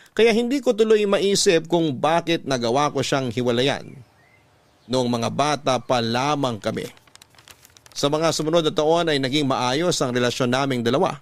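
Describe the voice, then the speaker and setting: A man playing an earnest young father who tells his love story in measured, sentimental first-person radio drama narration. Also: medium pitch, lightly acted.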